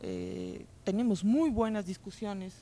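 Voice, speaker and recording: A Mexican woman speaking spontaneously in a live interview, expressive and repeating words for emphasis. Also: mid-range voice, takes it slowly. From Chiapas, Mexico. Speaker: festival organizer, guest.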